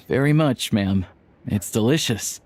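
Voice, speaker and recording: A man playing a patient, caring character in a video game, speaking gently and earnestly. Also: medium pitch, animated, slow. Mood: kindly. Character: patient, caring man who looks after an old woman. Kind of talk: video game voice acting, dialogue and exposition. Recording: background music under the dialogue.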